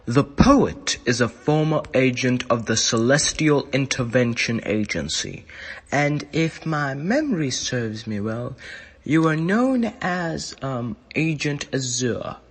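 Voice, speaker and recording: A man playing a courteous, measured Time Lord official in a sci-fi audio drama, speaking formally and deliberately. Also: mid-range voice, lively intonation, breathy edge, full character voice. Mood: measured, knowing. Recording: long hall reverb.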